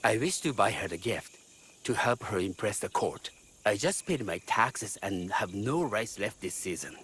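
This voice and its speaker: A humble game villager, a fussy father with a low but very lively voice. He is polite and ingratiating, always wheedling, with faint outdoor noise behind. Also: normal speed. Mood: pleading. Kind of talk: game dialogue acting.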